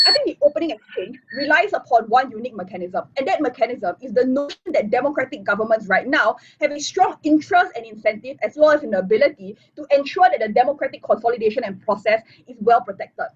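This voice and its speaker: A woman's voice: fairly high pitch, lively intonation, quick pace, hardly any pauses, slight background noise. A woman giving a fluent, structured competitive debate speech as opposition whip over a video call. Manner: fluent, structured argument, formal debate phrasing. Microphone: computer mic on a video call. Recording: muffled lo-fi online call audio.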